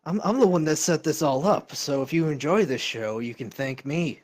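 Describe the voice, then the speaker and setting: A young man co-hosting a casual gaming stream, laid-back and hesitant with lots of fillers, his voice sounding deep through a home desktop mic. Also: moderate pace, a little mellow. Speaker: stream co-host. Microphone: desktop microphone. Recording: background music.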